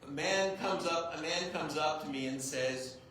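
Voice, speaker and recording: A rabbi joking with a crowd in an echoey hall. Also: male voice, a little high, moderate pace, fairly bright tone. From United States. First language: English. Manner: conversational, self-deprecating humor, frequent "right?" check-ins. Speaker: rabbi giving a community talk. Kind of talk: informal public talk to a group. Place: large echoey room with an audience. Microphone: clip-on mic, heard from a distance. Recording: very echoey hall, distant mic, faint noise.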